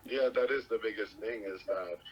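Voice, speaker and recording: A man's voice: on the low side, moderate pace, breathy edge. A man negotiating over the phone in a firm, complaining manner. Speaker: business partner on a phone call. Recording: dull phone call, faint noise.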